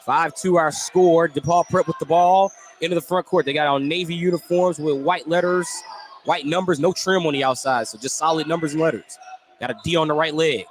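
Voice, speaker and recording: A man's voice: a little high, fairly even intonation, fairly quick. A man doing live basketball play-by-play for radio, describing the action in running detail and correcting himself as he goes. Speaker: play-by-play sports commentator. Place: indoor basketball gym. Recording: noisy live gym ambience.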